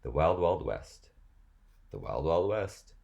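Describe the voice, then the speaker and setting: A man's voice: low-pitched, big pitch swings, slow, gravelly, a little mellow, highs cut off. A man reading rap lyrics aloud as spoken word, as host of the reading.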